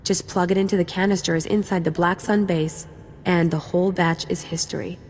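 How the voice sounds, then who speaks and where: A woman's voice: on the low side, fairly even intonation, normal speed, a little mellow, in a large hall, a little hiss or hum, lightly acted. A woman playing a confident, wry young special forces sergeant in a video game, casual and playful. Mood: breezy briefing. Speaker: soldier giving mission briefing. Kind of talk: scripted game dialogue.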